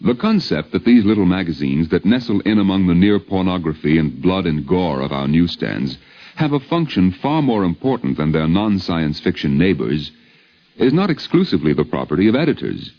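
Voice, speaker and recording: A man narrates a 1950s radio documentary from a script. His low voice moves through wide melodic sweeps, in a slightly muffled old recording with music behind. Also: big pitch swings, normal speed. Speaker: narrator and host.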